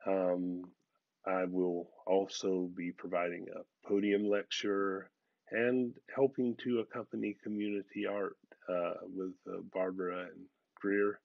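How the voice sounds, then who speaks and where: A middle-aged man, a faculty member, giving a relaxed webcam invitation full of "um"s and "uh"s. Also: low-pitched, no echo. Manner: relaxed, with many "um"s and "uh"s. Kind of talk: recorded video invitation. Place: room at home with a large painting. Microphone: video call webcam. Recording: dry, slightly dull sound.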